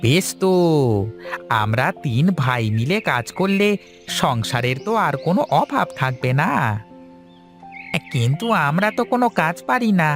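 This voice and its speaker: A man's voice: a little high, sing-song, normal speed, pauses often, fairly bright tone, soft background music. A man voicing an eager, willing young village son in a cartoon fairy tale.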